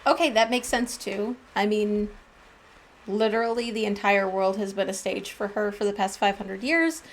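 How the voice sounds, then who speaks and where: A woman's voice: medium pitch, normal speed, slight background noise. A woman hosting a gaming channel, giving casual let's-play commentary with chatty, colloquial asides. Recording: game music behind the voice.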